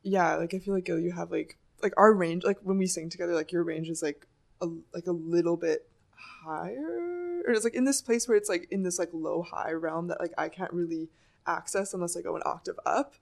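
A young woman musician with a low voice, rambling and laughing a lot as she talks about writing songs. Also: brisk, gravelly, darkish tone. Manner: giggly, rambling, many "like" fillers. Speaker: singer and songwriter. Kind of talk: casual interview chat.

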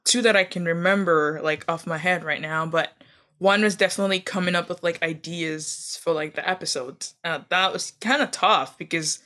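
Rambling woman, bright tone, full of "like". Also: lowish voice, steady tone, moderate pace. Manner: rambling, heavy use of "like", hesitant. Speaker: podcast co-host. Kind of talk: casual chat.

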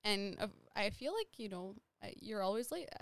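Young woman guest, Nepal-born, loose rambling chat, smooth clear tone, dry close sound. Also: medium pitch, animated, moderate pace, dry recording. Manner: rambling, many "like" fillers. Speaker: interview guest. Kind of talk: casual conversational interview.

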